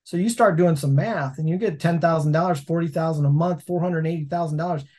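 Man, 40s, storyteller, slightly high voice, video call, home office. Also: fast talker. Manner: storytelling, animated hand gestures. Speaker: laundromat owner and guest. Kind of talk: casual interview chat.

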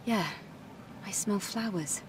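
Game heroine, played by a woman, a young warrior with a middle-register voice, calm and stoic, sparing and understated with words. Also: mid-range voice, slow, noisy background, lightly acted. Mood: dry, calm. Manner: terse, cool, understated. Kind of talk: restrained video game voice acting.

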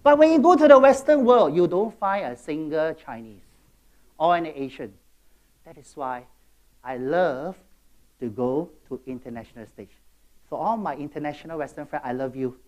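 A middle-aged man with a Singaporean English accent giving a motivational keynote, jumping between loud bursts and quiet asides and checking in with the audience. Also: fairly high pitch, animated, normal speed. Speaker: keynote speaker.

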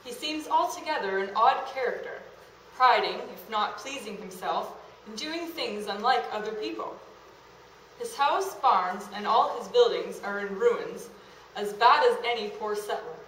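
A woman giving a measured, formal dramatic reading of a historical account to an audience. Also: mid-range voice, expressive, a bit bright. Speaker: costumed dramatic reader. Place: meeting room in a historic house, before an audience. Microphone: camera a short way off. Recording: echoey room, faint noise.

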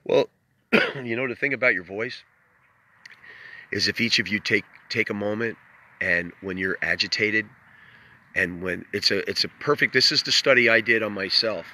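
A man's voice: on the low side, normal speed, pushed and bright. A man hosting a casual livestream outdoors by a lake, greeting viewers by name and musing at length. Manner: greets viewers by name, rambling reflective talk. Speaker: livestream host. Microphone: phone held while filming.

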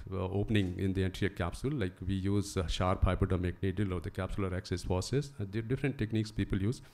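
A middle-aged man giving a technical talk at a conference, explaining at a podium microphone and pausing often with "uh". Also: lowish voice, a little mellow. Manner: explanatory, reading from slides with frequent "uh" pauses. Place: conference hall, standing at a podium.

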